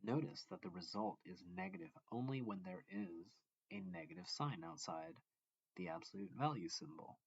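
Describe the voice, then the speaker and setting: A man with a deep, low-pitched voice reads a lesson aloud in short, deliberate phrases, like a teacher walking students through a textbook. The recording is muffled and lo-fi, with noticeable room echo. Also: very animated, vocal fry, slightly rough. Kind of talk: instructional read-along of a math textbook. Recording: dull lo-fi, echoey room.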